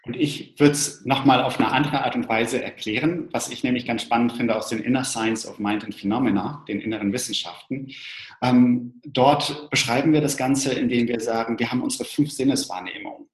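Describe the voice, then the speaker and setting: Reflective man in a roomy space. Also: mid-range voice. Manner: thoughtful, halting explanations with restarts. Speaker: co-presenter. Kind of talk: explanatory talk to an online audience. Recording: roomy echo.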